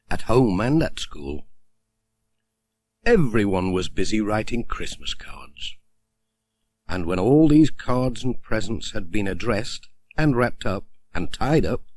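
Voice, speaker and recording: A man's voice: lowish voice, a little mellow, no room sound. A man narrating a children's story from a script, as an audiobook reader.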